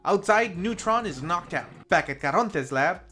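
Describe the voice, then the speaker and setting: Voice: medium pitch, animated, moderate pace, pushed and bright, soft background music. A film review host narrating a scripted recap in a casual, playful storytelling manner.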